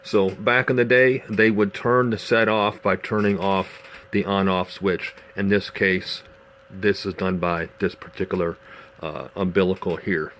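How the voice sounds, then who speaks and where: A man giving a casual, unscripted show-and-tell demonstration in a measured, explanatory way, pausing as he goes. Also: sits low, flat delivery, a bit bright. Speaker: vintage radio collector presenting a demonstration. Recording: clearly noisy amateur home video.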